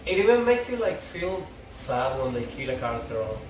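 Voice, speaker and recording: A young man talks in an enthusiastic, rambling, casual way. His voice sits at an average pitch and is picked up from a distance in a roomy space with clear background noise. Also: big pitch swings, normal speed. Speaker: fan discussing a TV series. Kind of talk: casual chat.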